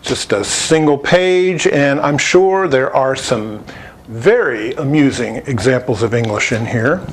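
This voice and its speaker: Man, audio installer doing a scripted review. Clear, measured explainer with lively intonation. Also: medium pitch, slight background noise. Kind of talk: scripted product review narration.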